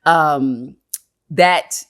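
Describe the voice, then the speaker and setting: An American woman co-hosting a podcast, speaking earnestly and deliberately, often pausing and repeating phrases as she reflects. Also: low-pitched, crowd noise. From Baltimore, United States. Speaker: podcast co-host. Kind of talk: reflective conversational discussion.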